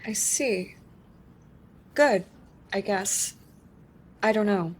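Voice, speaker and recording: An actress as an unsure newcomer to a women's group in an audio drama. Her voice is medium in pitch. She talks hesitantly and full of questions, as someone quick to feel guilty. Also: a little mellow, husky. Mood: unsure, questioning.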